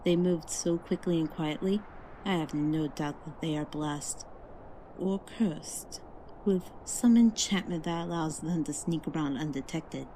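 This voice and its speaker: A woman's voice: low-pitched, measured pace, dark tone, a little hiss or hum, rough recording. A woman voicing a blunt, disdainful elven sister in an ASMR fantasy roleplay. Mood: grim. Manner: blunt and disdainful, drops into whispers.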